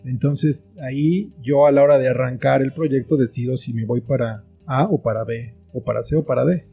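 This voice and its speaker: A man's voice: mid-range voice, fairly even intonation, normal speed, vocal fry, dark tone, husky, soft background music. A man talking as an interview guest, explaining his thoughts reflectively in short phrases with examples. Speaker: guest, children's book author and screenwriter.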